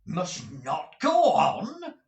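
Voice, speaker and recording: A man's voice: low voice, fairly even intonation, extremely slow, a bit bright, roomy sound, exaggerated voice. A man voicing a cruel, savage schoolmaster who shrieks and snarls his outbursts.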